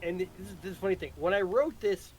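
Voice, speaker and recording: A man's voice: high-pitched, fast talker. A man casually recapping a story he wrote on a livestream, rambling and repeating words as he explains. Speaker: writer of an audio drama.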